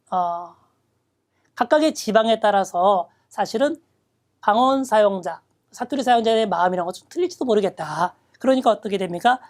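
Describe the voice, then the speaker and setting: A woman lecturing on exam reading questions, reading Japanese phrases slowly and then explaining them step by step. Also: mid-range voice, a little mellow. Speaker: Japanese reading test instructor.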